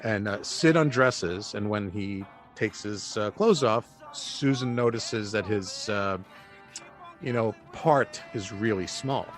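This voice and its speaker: An actor playing a laid-back, sardonic male buddy with a dry, halting, teasing delivery in audio drama dialogue. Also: on the low side, soft background music. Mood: joking.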